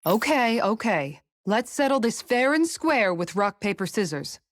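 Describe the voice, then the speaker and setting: A woman's voice: fairly high pitch, sing-song, a little slow, dry recording, studio quality. A woman voicing a composed, good-humoured grown woman warrior in a video game. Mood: game, resolute. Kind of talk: video game cutscene acting.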